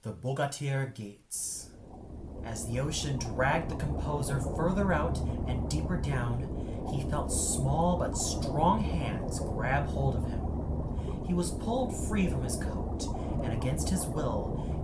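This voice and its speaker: Slow, dramatic male storyteller with lively pitch. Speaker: narrator. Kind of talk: dramatic scripted storytelling narration. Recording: echoey, with noise behind. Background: ambient sound effects.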